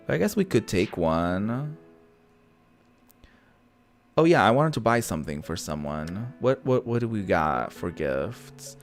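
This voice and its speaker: Low voiced guy streaming a game, campy n chatty, music going behind him. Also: big pitch swings, a little slow, long pauses. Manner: playful, campy, chatty asides. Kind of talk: casual let's-play commentary, reading dialogue aloud.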